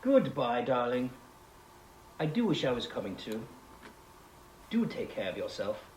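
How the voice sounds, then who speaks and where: A man's voice: medium pitch, wildly swooping pitch, measured pace, a little mellow. Man acting an ardent suitor, swooping, roomy sound. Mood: tender. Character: ardent, wistful young suitor named John. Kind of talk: dramatized novel reading. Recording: roomy, hissy home recording.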